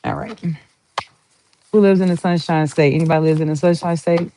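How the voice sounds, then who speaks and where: A woman's voice: low-pitched, steady tone, brisk, mellow, a little hiss or hum. A woman with a Southern US accent giving a chatty, rambling tarot reading, playful and talkative as she pulls cards. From Southern United States. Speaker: tarot reader.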